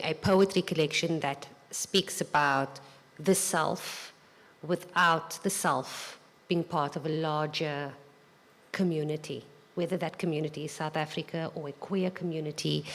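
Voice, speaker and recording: Woman moderating a panel, talks really slow and careful, echoey hall. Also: deep voice. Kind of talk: panel discussion. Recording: live hall recording with reverb.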